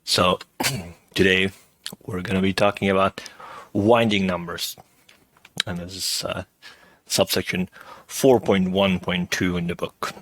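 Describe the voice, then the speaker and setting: A middle-aged man with a slightly hoarse voice, giving a recorded math lecture over slides in a relaxed, thinking-aloud way, often checking in with "right?". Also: low voice, unhurried, long pauses, no room sound. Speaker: math professor.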